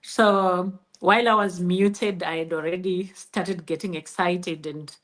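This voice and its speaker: Lowish-voiced middle-aged Zimbabwean woman. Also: fairly even intonation, measured pace, fairly bright tone. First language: Shona. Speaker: interview guest. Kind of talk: personal storytelling.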